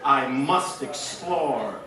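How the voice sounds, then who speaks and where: A man playing a bumbling, bombastic space-captain hero in a comic radio serial, hammy and deliberate. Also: medium pitch, lively intonation, crowd noise. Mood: frustrated. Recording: echoey stage, distant mic, music behind.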